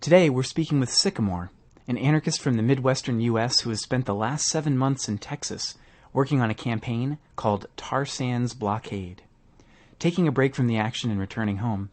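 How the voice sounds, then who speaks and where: A man's voice: medium pitch, a little mellow. A man hosting a podcast, reading scripted narration that frames an interview.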